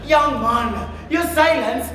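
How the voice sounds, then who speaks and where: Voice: high voice, takes it slowly, pushed and bright, soft background music, full character voice. A student actor playing a stern, pompous school principal, declaiming formally on stage. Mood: accusing, stern. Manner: formal, accusatory, theatrical projection. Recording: echoey hall from far, audience noise.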